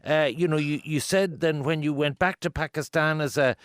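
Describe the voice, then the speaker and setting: A man's voice: on the high side, moderate pace, clean tone, no room sound, clean studio sound. A man with an Irish accent hosting a conversational radio interview, probing in a chatty way with questions piled up.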